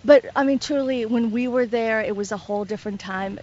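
A woman's voice: a little high, brisk, a little mellow. A woman in her thirties who grew up in Afghanistan and speaks Farsi natively, a TV reporter answering questions with easy humor. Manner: good-humored, laughs easily. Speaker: TV news reporter. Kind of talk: casual interview answers. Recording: dull lo-fi audio, faint ambience.

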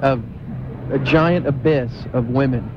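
A man's voice: mid-range voice, unhurried. A man hosting a radio segment, asking blunt, teasing questions on a busy street outside an arena. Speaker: radio show host doing street interviews. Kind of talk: brash man-on-the-street banter. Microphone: wired handheld mic. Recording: dull lo-fi sound with crowd noise.

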